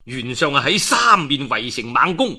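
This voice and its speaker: A man with a Guangdong Cantonese accent telling a historical tale in animated, colloquial traditional storyteller style. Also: mid-range voice, normal speed, husky. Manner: colloquial, expressive, dramatic with frequent emphatic particles. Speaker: storyteller of a classical historical novel.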